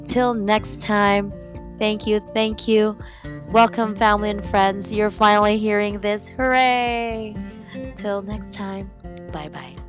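A woman's voice: medium pitch, steady tone, slow pace, music in the background. A young woman from the Philippines with a slight Filipino accent, hosting her own podcast in a chatty, upbeat way. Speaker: podcast host. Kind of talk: casual solo podcast chat.

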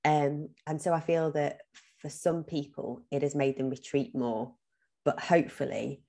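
A woman's voice: deep voice, a little mellow. A woman, talking earnestly in a podcast interview, with frequent ums and some repeated words. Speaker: podcast guest. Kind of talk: reflective interview talk.

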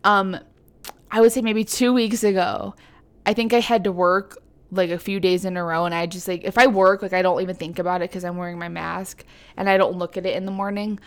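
A woman's voice: on the low side, steady tone, a little fast. A young adult woman co-hosting a casual chat, rambling with many fillers and poking fun at herself. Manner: self-mocking, playful. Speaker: podcast co-host.

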